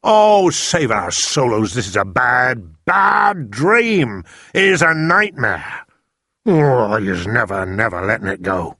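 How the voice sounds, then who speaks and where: A man voicing a big, kindly, excitable male giant who mangles his words. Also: deep voice, normal speed, few pauses, slightly rough, no room sound, studio quality. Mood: anguished. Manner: jumbled words, broad rustic lilt.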